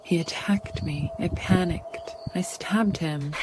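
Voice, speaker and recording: A woman playing a frightened but resolute heroine in a noir radio drama, speaking in short, halting dramatic phrases. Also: lowish voice, background noise. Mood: panicked.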